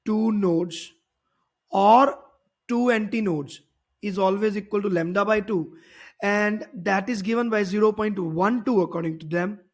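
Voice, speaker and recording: A man's voice: high voice, unhurried. A man teaching physics, explaining a worked problem step by step and often repeating and rephrasing his points.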